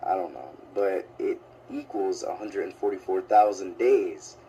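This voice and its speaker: A man's voice: low-pitched, a little slow, warm tone, a little hiss or hum, poor audio. A man with a sick-sounding voice talks casually and hesitantly, filling gaps with "um" and "like". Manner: hesitant, lots of "um" and "like". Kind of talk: casual video commentary.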